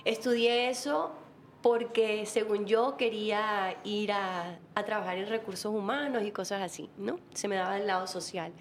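A woman, a guest telling her life story in a relaxed chat, laughing often. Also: medium pitch, moderate pace, a little hiss or hum. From Colombia. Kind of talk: casual interview chat.

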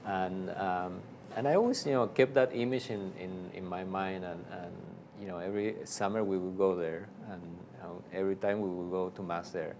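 Low-voiced Argentine priest, halting speech. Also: male voice, steady tone, background noise. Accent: Argentine Spanish. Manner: halting, many fillers and restarts. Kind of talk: casual interview storytelling.

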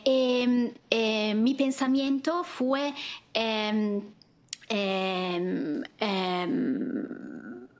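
A woman from northern Italy with an Italian accent, speaking a language that isn't her first. She talks slowly and haltingly, stopping to search for words with many fillers. Her voice is a bit higher than average. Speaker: guest singer.

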